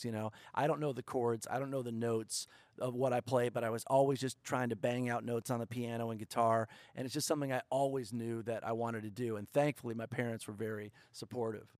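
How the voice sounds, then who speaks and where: A man's voice: medium pitch, dry recording, studio quality. A middle-aged man from Detroit, a songwriter guest telling jokey stories about his start in music in a casual podcast chat. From Detroit, Michigan. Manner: playful, jokey storyteller.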